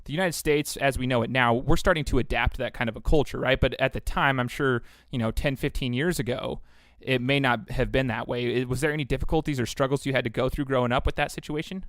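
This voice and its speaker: A man's voice: mid-range voice, fairly even intonation, quick pace, fairly bright tone, no echo, studio quality. Upbeat man hosting a sports podcast. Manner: upbeat, chatty, many fillers. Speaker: podcast host. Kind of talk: casual sports interview.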